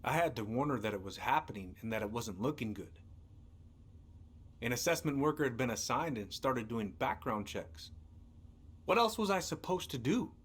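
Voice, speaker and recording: An actor playing a gruff, weary middle-aged American lawman in a naturalistic game drama. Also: mid-range voice, sing-song, moderate pace. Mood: defensive, earnest. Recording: noisy game capture with hum.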